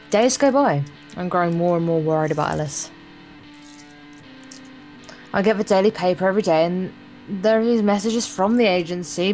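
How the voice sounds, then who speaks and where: A woman's voice: lowish voice, rough recording. A woman reading a visual novel aloud for a gameplay video, in a playful, theatrical way with crude joking asides.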